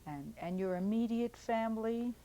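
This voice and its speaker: Measured, polite woman interviewer with a warm, mellow tone. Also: on the high side. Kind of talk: formal interview questions.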